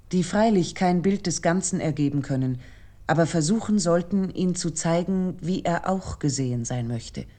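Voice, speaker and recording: A woman narrating a scripted literary essay for radio in a measured, reflective and formal manner. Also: on the low side, warm tone.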